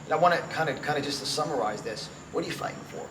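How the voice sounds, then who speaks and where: Fast-talking New York man, echoey room. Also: on the high side, lively intonation. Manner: emphatic, repeats phrases for effect. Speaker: guest, event organizer and motivational speaker. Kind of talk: promotional interview talk with personal storytelling. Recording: roomy echo, clear background noise.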